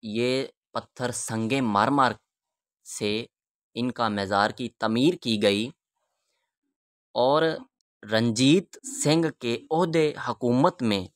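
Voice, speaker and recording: A man narrating a historical walking tour in a measured, reverent, halting way, phrase by phrase. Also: medium pitch, fairly bright tone, no room sound, clean studio sound. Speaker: vlogger and guide.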